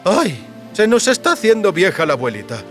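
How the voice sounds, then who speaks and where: A small but fierce halfling farmer, played by a male narrator, terse and defiant. His storybook voice sits well up in pitch, over faint music. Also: wildly swooping pitch, a little fast. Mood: grim calm. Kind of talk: audiobook character acting.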